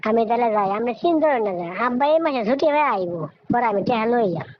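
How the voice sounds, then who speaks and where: A woman's voice: a little high, animated, fast talker, natural voice. Woman as polite village daughter, noisy lo-fi audio. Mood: placating. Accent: rural Bangladeshi. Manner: respectful, placating. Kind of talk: cartoon dialogue.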